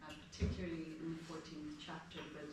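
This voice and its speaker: A middle-aged woman with an Irish accent, giving an informal spiritual class in a measured, explanatory way. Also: deep voice, flat delivery. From Ireland. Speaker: guest speaker giving a devotional class. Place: house gathering room, indoors. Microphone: distant from the speaker. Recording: echoey room, distant mic, noisy.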